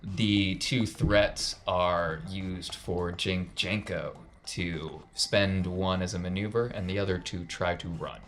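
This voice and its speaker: A man's voice: deep voice, fairly even intonation, slow pace, hardly any pauses, fairly bright tone, slight background noise. A man working as game master, narrating casually and repeating phrases for emphasis.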